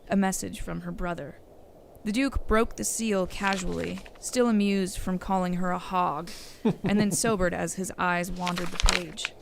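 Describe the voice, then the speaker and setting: A woman's voice: fairly low pitch, fairly even intonation, measured pace, gravelly. A woman reading scripted storybook narration for an audio drama, on a noisy recording.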